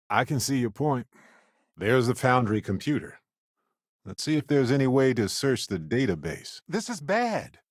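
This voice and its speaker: A man's voice: medium pitch, no room sound, studio quality. An actor playing a genial, witty male space explorer and scientist in a video game, talkative and wry with a theatrical flair. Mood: teasing, conversational, then urgent. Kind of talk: video game character voice lines.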